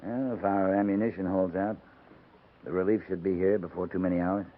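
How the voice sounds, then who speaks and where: Man playing a stern low-voiced cavalry captain. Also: fairly even intonation, phone-quality sound, slight background noise, lightly acted. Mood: firm, controlled. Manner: measured, terse, authoritative. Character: stern, steady cavalry captain commanding a besieged detachment. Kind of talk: 1950s radio western acting. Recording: band-limited 1950s radio recording.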